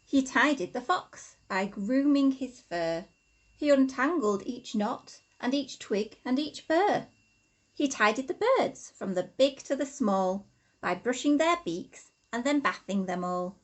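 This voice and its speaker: A woman teacher reads a children's story in a high, very lively voice. Her delivery is slow and deliberate, with sing-song stress on the rhymes. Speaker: infant school teacher reading a story. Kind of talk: expressive children's storybook reading.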